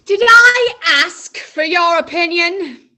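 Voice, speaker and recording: A woman's voice: very high voice, slow, bright, forceful tone, full character voice. A young woman in a school stage play, playing a snippy, temperamental actress and wife. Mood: indignant. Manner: snippy, indignant. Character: temperamental actress wife, touchy about her process.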